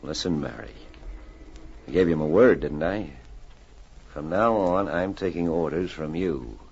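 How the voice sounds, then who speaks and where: An actor playing a smooth-talking gambler courting a woman in 1940s radio drama style. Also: rumbling low, expressive, a little slow, darkish tone, exaggerated voice. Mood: earnest, tender. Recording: muffled, hissy old radio recording.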